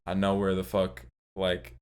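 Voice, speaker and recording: A man's voice: low-pitched, completely flat delivery, unhurried, darkish tone, clean tone. A young man chatting casually as a podcast guest, laid-back, swearing a lot and filling his talk with slang. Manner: laid-back, swears often, lots of "like" and "bro". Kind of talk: casual chat.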